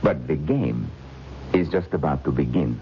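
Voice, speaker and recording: An actor playing a vengeful, pompous occult author in an old-time radio drama, with theatrical delivery and murmured asides. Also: male voice, a bass voice, animated, a little slow, exaggerated voice. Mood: sly, menacing. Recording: muffled, noisy old radio drama recording.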